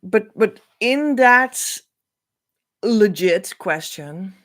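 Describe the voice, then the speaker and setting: Slow-speaking woman teacher. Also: medium pitch, lively intonation. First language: Dutch. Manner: earnest, reassuring, unhurried. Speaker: spiritual teacher. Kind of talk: spiritual teaching talk.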